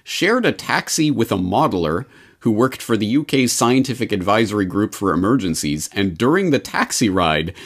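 A man with a low-pitched voice hosts a casual interview. He comes across as wry and sceptical in the way he talks through the material. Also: sing-song, normal speed. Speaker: interviewer, host.

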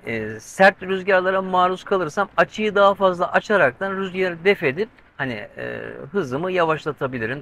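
Middle-aged man, bright and crisp voice, chatty step-by-step explaining, tense, faint wind noise. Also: high voice. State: tense, watching the weather. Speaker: solo sailing vlogger. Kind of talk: casual vlog talk to camera. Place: covered cockpit of a sailboat at sea, outdoors. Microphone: handheld camera with mic on a pole.

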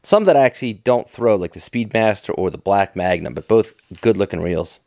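A man's voice: on the low side, animated, fairly quick, warm tone, dry recording. A middle-aged American man giving casual, off-the-cuff commentary in a folksy, enthusiastic, nostalgic manner. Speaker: fishing tackle vlogger.

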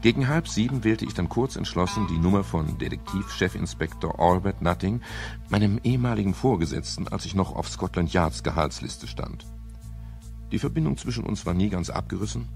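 An actor playing a wry, courteous private detective who narrates a 1970s radio crime drama. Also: male voice, sits low, animated, fairly quick, vocal fry, darkish tone, soft background music. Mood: measured, narrating. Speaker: detective narrator and lead character.

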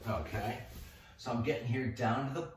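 Middle aged guy doing a painting tutorial, real echoey room, kinda far from the mic, thinks out loud. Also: mid-range voice. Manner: relaxed, halting, thinking aloud while working. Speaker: mural painter presenting a tutorial. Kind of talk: casual painting demonstration. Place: indoor room, painting at a wall. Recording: echoey room, distant mic, faint noise.

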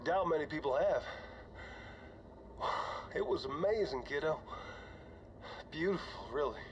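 An actor playing a weary, older starship commander, speaking in a halting, conversational way for a science fiction game audio log. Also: male voice, a little high, lively intonation. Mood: relieved, awed. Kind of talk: dramatic audio log acting. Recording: dull, noisy cockpit log recording.

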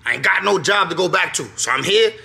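A man's voice: high voice, expressive, fast, sharp tone. A young man in his twenties giving a casual, slangy walkthrough of a rental apartment, repeating his phrases and often asking whether listeners follow. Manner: laid-back, repeats phrases, keeps asking "you know what I'm saying". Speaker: vlogger giving an apartment tour. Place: rental apartment, indoors then rooftop. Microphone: handheld camera held close. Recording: echoey rooms with clear background noise.

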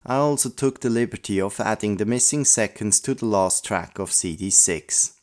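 Guy with a low voice doing a narrated collector video. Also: moderate pace, darkish tone. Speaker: video host, audio play collector. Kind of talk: scripted retrospective narration.

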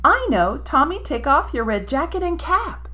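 A woman voicing an excited, bossy big-sister girl in a children's storybook, exclaiming and coaxing. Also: sits high, moderate pace, a little hiss or hum, pitched above the performer's own voice, exaggerated voice. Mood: eager.

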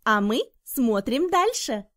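A woman's voice: on the high side, sing-song, slow, a bit bright, slight background noise. A woman reading scripted narration for a children's educational cartoon in simple, instructional phrases.

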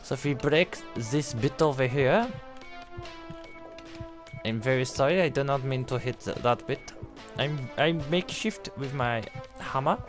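A man's voice: mid-range voice, a little slow, over music, close to an everyday voice. A man voicing a bungling, apologetic foreign surgeon with a put-on accent and stilted, flustered delivery in a gaming commentary. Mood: apologetic, determined. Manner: flustered, exclaiming, stilted uncontracted phrasing, swearing. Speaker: video game player.

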